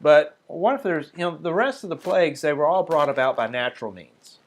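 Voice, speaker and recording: A man teaching a Sabbath group, reading passages aloud slowly and then explaining them in a loose, casual way with fillers and restarts. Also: fairly high pitch, animated. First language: not Hebrew. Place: small group meeting room.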